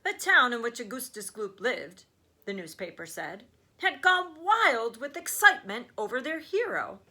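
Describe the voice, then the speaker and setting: A woman reading a children's story aloud like a teacher, with expressive emphasis and chatty questions to her listeners. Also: a little high, normal speed. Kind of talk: animated storybook read-aloud with chatty asides.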